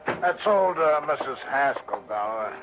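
An actor playing a cocksure, dismissive police sergeant with a blunt American delivery, in early sixties radio drama style. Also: male voice, mid-range voice, expressive, measured pace, close to an everyday voice. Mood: brusque. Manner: blunt, brusque. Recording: muffled vintage radio recording.

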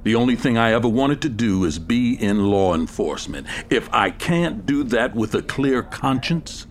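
An actor playing an intense, self-tormented police detective in an audio drama, speaking in a dramatic, confessional manner. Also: male voice, medium pitch, expressive, normal speed, a little hiss or hum, natural voice. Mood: anguished, resolute. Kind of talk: audio fiction acting.

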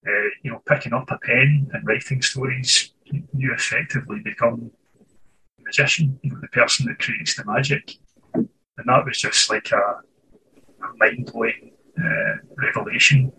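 A man's voice: medium pitch, normal speed, bright, forceful tone, far from the mic, slight background noise, low-quality recording. A Scottish man being interviewed over a video call from his writing office, thinking aloud and restarting phrases as he goes. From Scotland. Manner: thoughtful, restarts phrases while searching for words. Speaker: author guest.

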